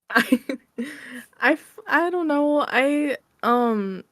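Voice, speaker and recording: A woman's voice: high-pitched, animated, extremely slow, a bit bright, no echo, clean studio sound. A woman chatting casually and informally as an interview guest. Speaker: interview guest, audio roleplay creator. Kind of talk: casual chat.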